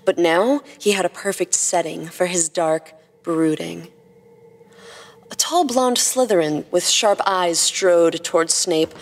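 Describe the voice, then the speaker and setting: A woman's voice: lowish voice, a little hiss or hum. Woman narrating a story in long reverb. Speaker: podcast host and story narrator. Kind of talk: scripted narration of humorous fan fiction. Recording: long reverb, music behind the voice.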